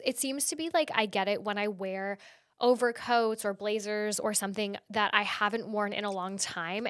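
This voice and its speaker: A woman's voice: medium pitch, fairly bright tone, dry recording, studio quality. A woman in her early thirties hosting a casual solo podcast, chatty and full of fillers, sniffly and stuffed up from hay fever. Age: early 30s. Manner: chatty, heavy use of "like". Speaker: podcast host.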